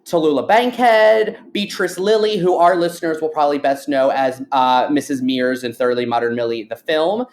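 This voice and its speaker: Podcast host with mid-pitched voice. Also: moderate pace, hardly any pauses, a little mellow. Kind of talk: casual interview questioning.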